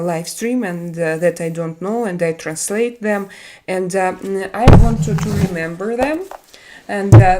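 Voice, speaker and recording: A woman's voice: medium pitch, heavy background noise. Russian-accented woman hosting a live stream. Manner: halting, frequent "uh" fillers while searching for English words. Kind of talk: casual live-stream chat reading viewer messages.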